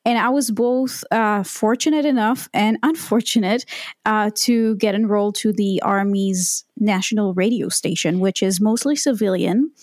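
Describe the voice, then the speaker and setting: A woman's voice: medium pitch, normal speed, a little mellow, dry recording, clean studio sound. A woman, a podcast guest telling her story in a reflective, candid way. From Israel. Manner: reflective and candid, with frequent ums and restarts. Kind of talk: conversational storytelling.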